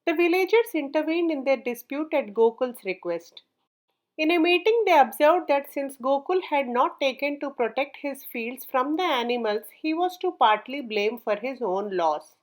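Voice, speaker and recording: A woman narrating a children's story from a script in a measured, clearly enunciated read-aloud manner. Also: high-pitched, animated, poor audio.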